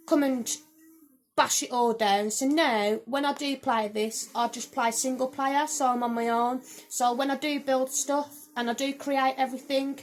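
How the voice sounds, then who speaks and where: Woman gaming vlogger, slightly croaky, higher-pitched, chatty and rambling. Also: low-quality recording. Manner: chatty, rambling, with frequent "um" and "so, yeah". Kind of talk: casual game collection review. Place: home room.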